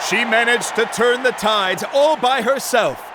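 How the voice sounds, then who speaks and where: A man's voice: very high voice, a little slow, crowd noise, noisy background. A man playing an exuberant tournament announcer in a game dub, projecting theatrically to present the winners. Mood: rousing, celebratory. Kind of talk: arena announcing in a dubbed game.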